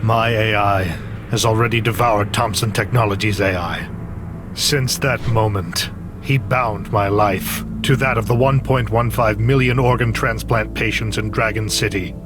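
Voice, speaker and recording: An actor playing an obsessed, menacing middle-aged tech mastermind in a video game cutscene, theatrical and emphatic. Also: male voice, on the low side, fairly even intonation, measured pace, lightly acted. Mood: cold threat. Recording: music under the voice. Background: music.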